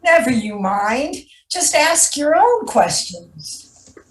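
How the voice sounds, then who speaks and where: A woman's voice: high-pitched, big pitch swings, slow, exaggerated voice. A woman playing a prickly nineteenth-century neighbor wife in an amateur stage play reading. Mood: indignant.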